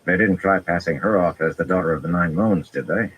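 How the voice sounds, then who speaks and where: A man voicing a blunt, practical male soldier who talks briskly, in an audiobook. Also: sits low, dull audio, noisy background, lightly acted. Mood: questioning.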